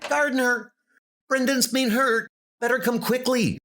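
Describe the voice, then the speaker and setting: A man acts out an anxious young woman in a pulp adventure serial. The voice is pushed high and sounds urgent and alarmed. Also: fairly even intonation, unhurried, bright, forceful tone, a little hiss or hum, full character voice.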